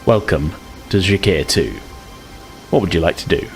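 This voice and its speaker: A man's voice: low voice, normal speed, faint music behind. A man hosting a tabletop game podcast and narrating in a conversational, slightly hesitant way. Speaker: podcast host and game master.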